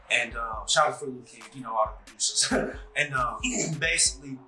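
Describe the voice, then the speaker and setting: A man in his thirties, a musician being interviewed, talking in a relaxed, rambling way full of "like" and "you know". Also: medium pitch. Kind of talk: casual interview chat. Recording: echoey room with faint music.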